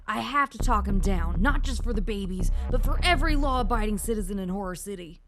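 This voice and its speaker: A woman's voice: medium pitch, expressive, brisk, natural voice. A woman playing a resolute, duty-bound police chief in an audio drama, with melodramatic delivery. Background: music.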